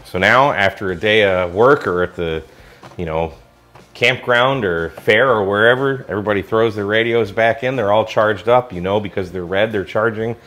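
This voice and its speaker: Male American product reviewer, low-pitched, enthusiastic and colloquial, casual hands-on demo delivery. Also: moderate pace, fairly bright tone.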